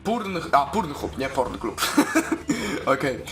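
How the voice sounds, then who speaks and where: An excitable man. His pitch swings widely as he exclaims and chats informally. Game music plays behind him. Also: slow pace. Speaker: gaming YouTuber. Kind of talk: casual gameplay commentary.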